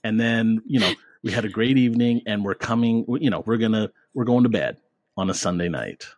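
A man's voice: on the low side, a little fast, dry recording. A man hosting a podcast in casual, jokey chat, laughing often and tripping over his words. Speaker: podcast host.

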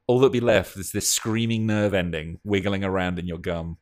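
A man's voice: sits low, normal speed, darkish tone. A man, seemingly in middle age, talking casually as a podcast co-host. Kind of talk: casual chat.

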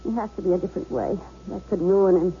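A woman's voice: medium pitch, fast, lightly acted. A woman playing an anxious, earnest bank manager and troubled wife, in a dramatic radio drama style. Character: anguished bank manager, fearful, conflicted wife and mother. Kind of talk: 1970s radio drama acting. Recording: dull, noisy old radio broadcast recording.

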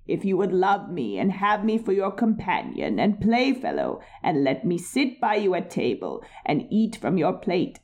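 A man voicing a frog in a fairy tale reading, drawing out his words slowly. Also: high-pitched, a little mellow, voice pushed up, full character voice. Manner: slow, drawn-out coaxing. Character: slow, coaxing male frog at a well. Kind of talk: storybook reading.

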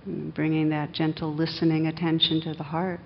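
A woman meditation teacher leading a guided meditation in a gentle, unhurried manner with long pauses, on a dull recording. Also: level tone, gravelly. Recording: dull, muffled sound with faint rumbling noise.

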